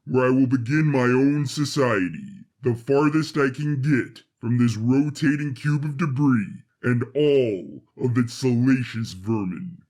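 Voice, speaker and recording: A man's voice: medium pitch, a little mellow, voice pushed down, natural voice. A man voicing a humorless, imperious robot executive who speaks in a stiff, formal, curt way in an audio story. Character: cold, imperious male robot executive, humorless and easily irritated.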